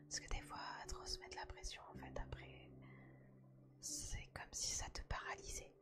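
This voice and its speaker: A woman whispering a casual ASMR chat to her viewer, hushed, gentle and reassuring. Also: normal speed. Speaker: ASMR video host.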